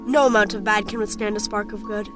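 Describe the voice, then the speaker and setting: A woman's voice: mid-range voice, fairly even intonation, fast, music in the background, natural voice. A woman playing a curious, earnest young boy in an audio storybook, with a childlike manner. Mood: grateful, upbeat. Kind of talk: audio storybook character acting.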